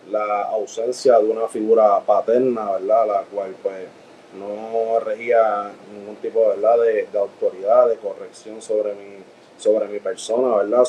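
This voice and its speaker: The low-voiced Puerto Rican guest at a church testimony broadcast, checking in with tag questions, heard through dull audio with faint noise. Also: male voice. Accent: Puerto Rican Spanish. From Puerto Rico. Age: 30s. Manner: peppers speech with tag questions and praise exclamations. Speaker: guest giving a religious testimony. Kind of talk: personal testimony in an interview.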